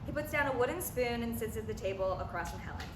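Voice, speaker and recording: A woman's voice: fairly high pitch, lively intonation, fast. A woman reading stage directions as scripted narration at a play table read. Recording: echoey room, clear background noise.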